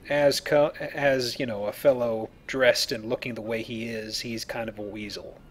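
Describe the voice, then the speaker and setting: A man's voice: medium pitch, steady tone, moderate pace. A man hosting a casual Let's Play, explaining the game and joking as he goes. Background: video game sounds.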